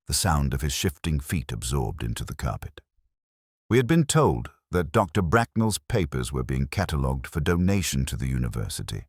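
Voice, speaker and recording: A man with an exceptionally low voice narrates a mystery as a melancholy detective. His delivery is measured and literary, yet the pitch moves expressively from phrase to phrase. The sound is husky and very close and dry. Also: dry recording. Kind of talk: scripted first-person audio mystery narration.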